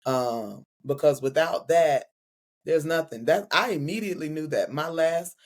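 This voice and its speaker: A woman's voice: low voice, unhurried. A woman preacher and guest speaker in a casual faith-centered conversation, talking expressively with laughs and affectionate asides. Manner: expressive, laughing, calls friend "girl" and "honey".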